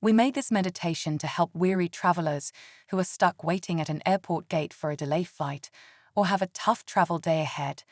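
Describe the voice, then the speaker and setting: A woman leading a scripted guided meditation in a calm, soothing and gentle way. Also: lowish voice, brisk, no echo, studio quality.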